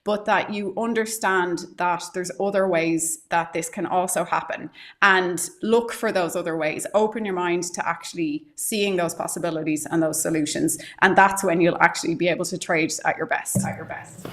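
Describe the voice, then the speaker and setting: Woman coach, lowish voice, thoughtful step-by-step explainer, remote call. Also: steady tone, normal speed, few pauses, fairly bright tone. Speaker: trading psychology coach. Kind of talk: live-stream advice talk.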